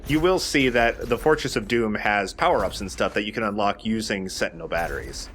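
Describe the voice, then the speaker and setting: Low-voiced man doing game commentary. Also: animated, fairly quick, fairly bright tone, a little hiss or hum. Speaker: host of a video game let's play. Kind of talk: casual gameplay commentary.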